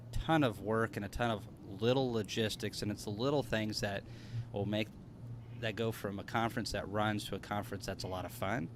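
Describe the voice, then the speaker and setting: A man's voice: lowish voice, slight background noise. A man chatting casually as a guest, folksy and given to joking. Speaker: conference speaker, livestream guest. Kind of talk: casual chat.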